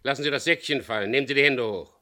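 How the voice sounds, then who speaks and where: An actor playing a tough, decisive boat skipper in a radio crime drama, with a gravelly voice. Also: male voice, mid-range voice, sharp tone, lightly acted. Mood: commanding. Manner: terse, commanding in dialogue, measured in narration. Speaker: lead actor and first-person narrator of a radio play.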